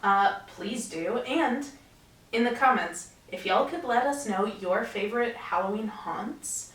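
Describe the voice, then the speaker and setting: A young woman co-hosting a casual podcast, chatty and quick to laugh. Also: fairly high pitch, expressive, a bit bright, far from the mic. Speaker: podcast co-host. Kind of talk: casual chat. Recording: echoey room, voice off-mic.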